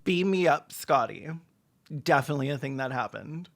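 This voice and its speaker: A man's voice: mid-range voice, animated, normal speed, vocal fry, fairly bright tone. A man doing sarcastic, snarky comedic movie commentary as a video host, with dramatic emphasis. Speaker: film reaction video host.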